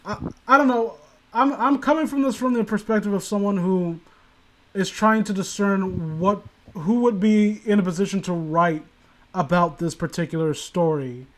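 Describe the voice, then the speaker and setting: A man with a fairly high voice hosts a casual reading stream. He gives critical commentary in a meandering, stop-and-start way. His language is loose and occasionally profane. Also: fairly bright tone, low-quality recording. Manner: rambling, halting, profane. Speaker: host of a story reading stream. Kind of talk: casual critical chat on a livestream.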